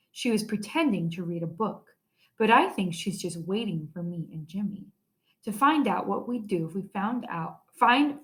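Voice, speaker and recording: A woman teacher reading a children's story aloud expressively, stressing key words. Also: medium pitch.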